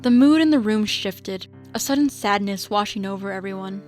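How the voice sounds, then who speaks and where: A woman's voice: medium pitch, normal speed, darkish tone, faint music behind. A woman reading scripted story narration for an audio drama.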